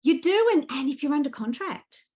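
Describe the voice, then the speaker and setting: A woman with an Australian accent speaking as a guest author in a casual interview, animated and enthusiastic as she tells her stories. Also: sits high, moderate pace.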